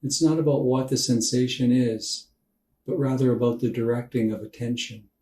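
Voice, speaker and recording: A man leads a guided meditation in a soft, dark-toned voice, taking his time and leaving space between phrases. His delivery is gentle and calm, made for drifting off to sleep. The recording is roomy, with him sitting some distance from the microphone. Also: medium pitch. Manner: calm, unhurried, gentle instruction with long pauses. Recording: roomy echo, distant from mic.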